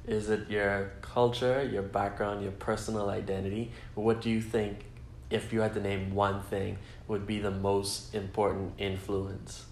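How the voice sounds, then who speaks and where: A man hosting a talk show, interviewing his sister with long, measured questions. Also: lowish voice, flat delivery, a little hiss or hum. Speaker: host. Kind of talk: podcast interview. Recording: echoey room, faint ambience.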